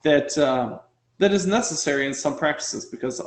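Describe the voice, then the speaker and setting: Animated male guest, roomy echo. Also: a little high, moderate pace. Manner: animated, piles up rhetorical questions. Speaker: guest, company founder and dentist. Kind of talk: casual interview conversation.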